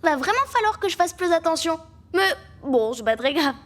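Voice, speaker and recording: A woman's voice: very high-pitched, sing-song, moderate pace, very noisy. A woman voicing a plucky six-year-old cartoon princess, cheeky, determined and childlike. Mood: defiant. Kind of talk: animated cartoon acting in French dub. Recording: music behind the voice. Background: loud music.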